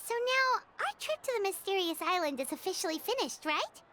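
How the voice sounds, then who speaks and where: A woman voicing a tiny, cheeky fairy companion in a video game, with a squeaky, childlike, perky delivery. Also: very animated, a little fast, heavy background noise. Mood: cheerful.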